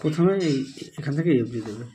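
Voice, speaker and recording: A man's voice: mid-range voice, lively intonation, quick pace, warm tone, a little hiss or hum, poor audio. A man teaching students in Bengali, walking through exam answers step by step and repeating his instructions. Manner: repetitive, instructive, addresses students directly.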